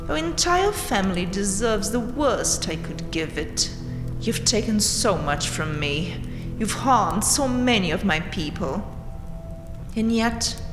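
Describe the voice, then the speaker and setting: A woman playing a proud, vengeful vampire queen in a dramatic audio roleplay, speaking with haughty menace and mocking pauses. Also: on the low side. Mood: scornful, resolute. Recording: roomy, long hall-like reverb. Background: dark castle ambience music.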